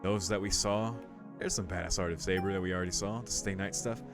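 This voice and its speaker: A man's voice: deep voice, fairly even intonation, fast talker, music in the background. A man giving casual, laid-back commentary as he plays through a game, murmuring short reactions. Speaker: video game streamer.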